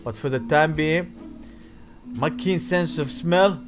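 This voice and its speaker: A slow-paced man with a slightly high voice plays through a visual novel, reading the text aloud. He puts theatrical weight on words, halts over some of them and adds casual asides, with music playing clearly behind him. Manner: halting read-aloud with theatrical emphasis and offhand asides. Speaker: gaming video host. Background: game music.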